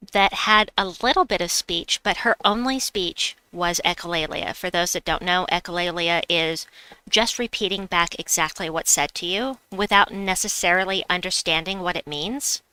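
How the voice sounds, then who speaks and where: A woman's voice: on the low side, normal speed, sharp tone, smooth, no room sound, low-quality recording. A woman with a slight New York accent, streaming a game and chatting with her viewers as she plays. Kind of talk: casual live-stream chat while gaming.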